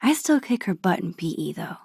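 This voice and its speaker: Game role: thoughtful American teenage girl. Soft, husky-breathy inner monologue with a creaky edge, musing and shy. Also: lowish voice, very animated, a little fast, dry recording, studio quality, heavily acted. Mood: musing, nostalgic. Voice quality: breathy, hushed. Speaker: video game voice actress.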